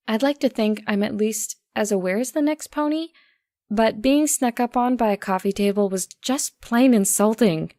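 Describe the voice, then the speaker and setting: A woman's voice: medium pitch, darkish tone, no room sound, studio quality. A woman reading a first-person story aloud as an audiobook narrator.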